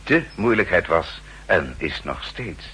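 An actor playing a formal, dryly polite aide to a spymaster in a 1960s radio drama, deferential, measured and precise. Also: male voice, low-pitched, sing-song, pushed and bright, heavily acted. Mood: measured briefing. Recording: 1960s radio recording, faint hum and noise.

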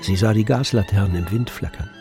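A man narrating a literary radio drama from a script in a measured storytelling manner. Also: on the low side, dark tone. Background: music with violin.

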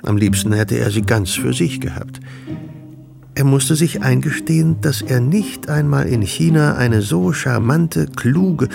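A male narrator delivers literary narration for a radio drama in a mid-pitched, markedly creaky voice. Music plays clearly behind his scripted storytelling. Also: expressive, measured pace.

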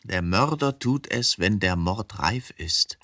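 A man's voice: on the low side, animated, slow pace, sharp tone, heavily acted. Brooding, bitter middle-aged man. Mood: weary, evasive. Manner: evasive, philosophizing, bitter. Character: brooding middle-aged journalist confessing to killing his wife. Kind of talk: radio drama acting.

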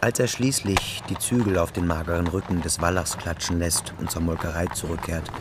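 A man's voice: deep voice, steady tone, a little fast, noisy background, natural voice. A man narrating a literary radio play in scripted prose, acting as its storyteller. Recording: music behind the narration. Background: music.

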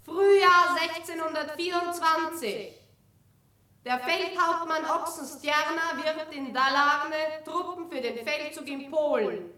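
A woman's voice: sits high, takes it slowly, sharp tone. A woman giving scripted scene-setting narration in a radio drama. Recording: distant, echoing 1970s radio production.

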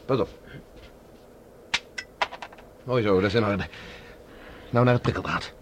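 A man's voice: on the low side, fairly quick, natural voice. A man playing a determined scientist on the run in a Dutch science fiction radio drama, speaking urgently as he explains and plots. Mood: tense, cautious. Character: determined male scientist on the run, plotting escape. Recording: dull lo-fi radio recording with hiss.

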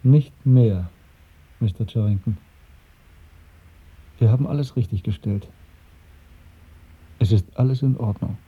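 An earnest, husky, troubled younger man. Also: on the low side, measured pace, pauses often. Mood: guarded, resigned. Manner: earnest, intense, insistent. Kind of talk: 1970s German radio drama acting. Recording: muffled, phone-like old radio recording.

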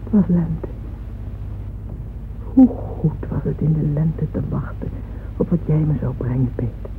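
An actress playing a grieving, lovesick woman patron who recalls her heartbreak in a halting radio drama monologue. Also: deep voice. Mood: wistful waiting. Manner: hushed, halting, repeats words in anguish. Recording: muffled phone-like old radio recording, noisy.

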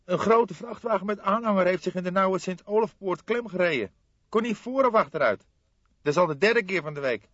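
A man's voice: high-pitched, animated, a little fast, a bit bright, no echo, muffled recording, pitched above the performer's own voice. A man voicing a harried young street policeman in an audiobook, urgent, exasperated and complaining.

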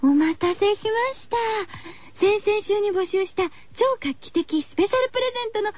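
A woman's voice: very high-pitched, lively intonation, normal speed, darkish tone, no echo. A woman hosting a radio show, chatting casually to her listeners in a cutesy, girlish way. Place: radio studio. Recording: slightly dull, lo-fi radio recording.

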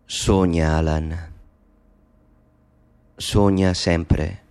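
A tender, soothing mother in a radio play, voiced by a man. The voice sits low and gravelly and moves at an unhurried, hushed pace. It is gentle and soft throughout. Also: steady tone, full character voice. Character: gentle loving mother speaking softly to her son.

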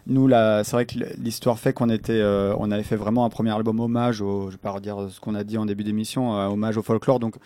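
Mellow-voiced man, halting musician. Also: on the low side, flat delivery, no room sound. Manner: halting, self-correcting, searching for words. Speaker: band musician. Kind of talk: conversational radio interview.

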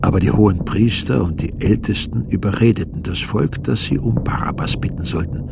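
An actor playing a solemn evangelist, narrating scripture in a measured way in a radio drama. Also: male voice, lowish voice, gravelly, very noisy.

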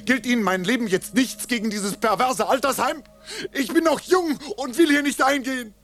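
An actor playing a passionate, impatient young Englishman in a radio drama, agitated and emphatic, moving between hushed lines and outbursts. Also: extremely high pitch, normal speed, faint music behind. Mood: exasperated, pleading. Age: young adult. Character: young, hot-headed, impatient, passionate Englishman, scornful and pleading.

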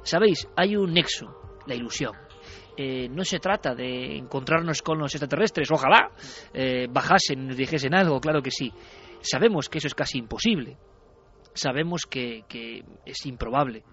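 A man's voice: medium pitch, normal speed, fairly bright tone. A man hosting a radio show, delivering a dramatic, rousing monologue to his listeners. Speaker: radio host. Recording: muffled radio broadcast with faint music.

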